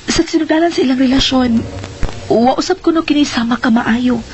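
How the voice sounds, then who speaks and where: A woman's voice: high voice, brisk, darkish tone, dull audio, slight background noise, lightly acted. An actress playing an earnest, anxious woman confiding in someone in a radio drama, with emphatic delivery. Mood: earnest, troubled.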